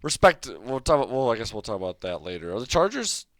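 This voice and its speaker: A man's voice: mid-range voice, very animated, quick pace, crowd noise. A man on a sports panel chatting casually, wavering as he weighs his picks.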